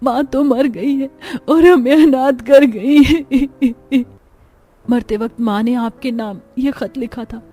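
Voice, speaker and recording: A woman's voice: high-pitched, slow, mellow. A woman voicing an anxious young daughter of a poor family in a cartoon, plaintive and childlike. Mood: frightened pleading.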